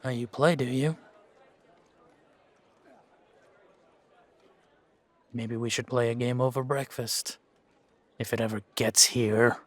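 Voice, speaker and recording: A man playing a world-weary, laconic monster-slaying mercenary in a fantasy roleplay audio drama, speaking in a measured, musing way with pauses. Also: medium pitch, steady tone, natural voice. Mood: wry, companionable. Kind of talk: fan-made roleplay audio drama, unhurried monologue to a companion.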